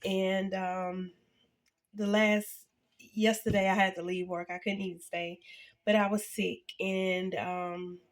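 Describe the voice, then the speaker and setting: A woman in her fifties, sounding congested and a little nasal, chatting casually to her viewers in a halting way with many fillers and restarts. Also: mid-range voice, flat delivery. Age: 50s. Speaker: vlogger. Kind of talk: casual reflective talk to camera.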